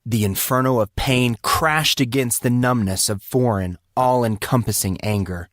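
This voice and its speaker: A man's voice: mid-range voice, normal speed, dry recording, clean studio sound. A man giving dramatic, intense scripted narration of a story. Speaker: audiobook narrator.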